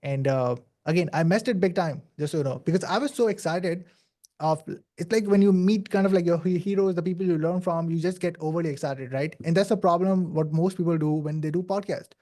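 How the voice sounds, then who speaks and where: A young man with a Pakistani accent speaks English as a second language, his voice somewhat high yet mellow and soft-edged. He tells his story as a podcast guest in an earnest, casual way, leaning on "like" and restarting his sentences. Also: brisk. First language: not English. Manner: earnest, many "like" fillers and restarts.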